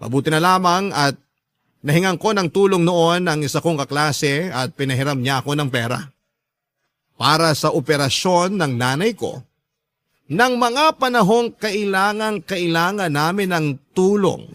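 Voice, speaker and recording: A man's voice: a little high, a little slow, long pauses, fairly bright tone, dry recording. A man reading a listener's letter as a radio storyteller, in an earnest, dramatic first-person style. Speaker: radio host and story narrator.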